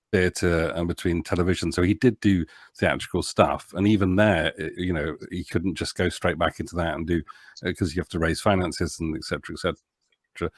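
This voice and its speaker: A man giving his opinions in a casual podcast discussion, feeling his way haltingly through his explanations. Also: low voice, no room sound, clean studio sound. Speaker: podcast panellist.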